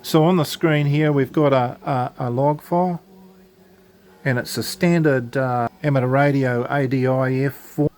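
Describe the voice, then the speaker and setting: A man's voice: medium pitch, steady tone, unhurried, a little mellow. Man giving a casual step-by-step demo. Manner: casual, hesitant, step-by-step explaining. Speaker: presenter of an amateur radio gadget demo. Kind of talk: informal product demonstration, unscripted tutorial.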